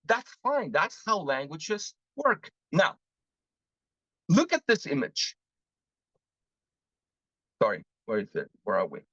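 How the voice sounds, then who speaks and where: A man from Mexico, presenting a live webinar on interpreting and asking the audience lively rhetorical questions. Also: fairly high pitch, slow pace, pauses often, dry recording. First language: Spanish. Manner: animated teaching, frequent rhetorical questions, switches into Spanish examples. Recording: muffled lo-fi dry webinar audio.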